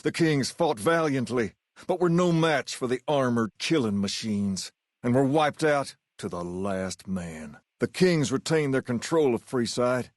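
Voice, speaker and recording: An actor playing a solemn storyteller who narrates video game epilogues in a measured, scripted way. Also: male voice, medium pitch, expressive, no echo, clean studio sound, lightly acted. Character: male storyteller of a post-apocalyptic game's epilogues.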